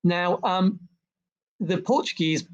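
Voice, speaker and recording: A man's voice: high-pitched, slow, highs cut off. A man, a historian and author, talking as a guest in an interview, full of ums, restarts and repeated words. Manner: hesitant, many ums and restarts. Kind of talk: conversational interview about history.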